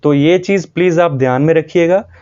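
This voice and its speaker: A North Indian man speaks to viewers in an earnest, informal explanatory style. His voice sits a little high and is on the mellow side, and he repeats points for emphasis. The recording is slightly dull. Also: a little fast, clean tone. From Jammu, India. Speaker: video host.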